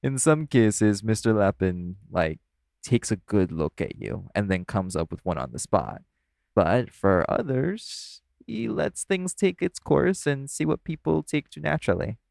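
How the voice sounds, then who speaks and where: A man's voice: on the low side, lively intonation, unhurried, creaky, a little mellow, no echo. A man playing a flirtatious, easygoing nightclub coworker in a casual, teasing audio roleplay. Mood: casual, reassuring. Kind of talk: audio roleplay acting addressed to the listener.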